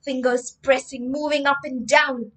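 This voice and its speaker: Young woman, slow and emphatic teacher reading to kids, slightly high voice. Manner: expressive, emphatic reading with frequent word explanations. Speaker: teacher, storyteller. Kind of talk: reading a story aloud and explaining vocabulary. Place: home room with bookshelves. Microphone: webcam framing, livestream.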